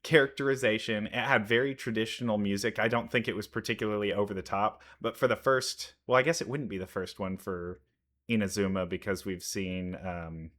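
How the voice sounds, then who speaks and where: A man's voice: lowish voice, steady tone, a little fast, a bit bright. A man giving casual reaction commentary, thinking aloud with analytical asides. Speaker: reaction video host.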